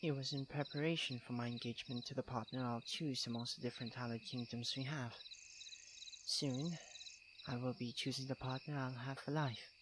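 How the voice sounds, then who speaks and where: A man's voice: mid-range voice, steady tone, moderate pace, lightly acted. A man playing a young tsundere prince, haughty yet tender-hearted, speaking formally and intimately to the listener in an ASMR roleplay. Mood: vulnerable, hesitant. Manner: formal, princely, precise wording. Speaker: roleplay voice actor. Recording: clear ambient noise with chirping crickets. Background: night crickets and insects.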